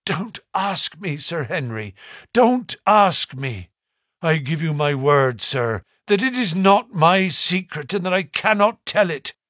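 A frightened butler, cornered and pleading, his voice pushed up high and strained with agitation, as a male narrator puts him on. The lines come in a clear, bright tone recorded close and dry, in a storybook telling. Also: animated, unhurried, hardly any pauses, no echo. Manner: pleading, stammering.

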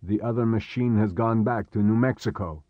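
A man voicing a steady, commanding adventurer in an audiobook, calm, measured and terse. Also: on the low side, no room sound, muffled recording, close to an everyday voice. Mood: calm, firm. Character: steady, commanding male adventurer calming companions. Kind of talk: audiobook character dialogue.

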